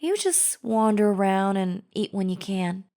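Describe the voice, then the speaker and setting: A woman's voice: mid-range voice, expressive, unhurried, mellow. A woman playing a chatty female zombie in an audio roleplay, casually coaxing the listener with false friendliness and a sly, wheedling drawl. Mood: casual, persuasive. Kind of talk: scripted audio roleplay acting, addressing the listener.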